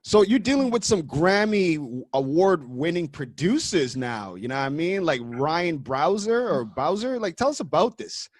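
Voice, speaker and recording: A man hosts a radio interview in a loose, casual way, often checking in with "you know what I mean". His voice sits a bit high for a man. The sound is dry and close, with no room around it. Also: big pitch swings, normal speed, few pauses, no echo. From Canada. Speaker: radio show host.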